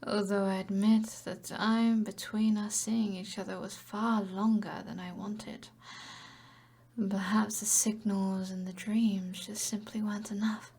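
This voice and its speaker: A woman's voice: medium pitch, level tone, a little slow, a little mellow. A British woman playing an alluring, commanding evil sorceress in an ASMR roleplay, half-whispering in a teasing, possessive way. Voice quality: breathy, whispery.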